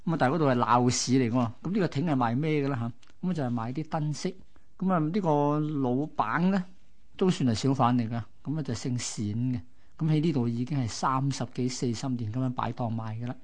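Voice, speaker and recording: A man's voice: mid-range voice, fairly quick, a little mellow. A man with a Hong Kong accent, a co-host telling stories conversationally in short, colloquial explanatory phrases. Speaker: radio co-host. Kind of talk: conversational storytelling.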